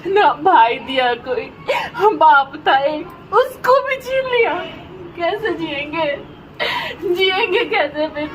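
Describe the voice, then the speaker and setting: Young woman, very high pitch, tearful and pleading, noisy location sound. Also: lively intonation, slow pace. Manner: pleading, repeats anguished questions. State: crying, distraught, sobbing. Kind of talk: emotional outpouring to camera and to God.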